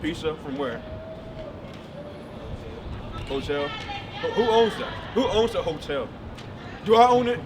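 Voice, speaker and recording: A man's voice: on the high side, sing-song, measured pace, long pauses, a crowd in the background. A man preaching outdoors on a city street, emphatic and firing questions at his listener. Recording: distant mic with loud traffic noise.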